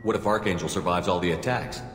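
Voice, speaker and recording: An actor playing a calm soldier commander who asks probing questions. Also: male voice, sits low, fast. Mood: questioning. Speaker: freelancer commander. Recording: echoey game mix with music.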